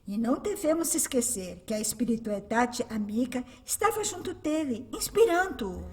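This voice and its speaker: An actress plays a serene female spirit mentor in a radio drama. She instructs solemnly in a fairly high voice, wrapped in long reverb. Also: sing-song, moderate pace, a little mellow. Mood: serene, instructive.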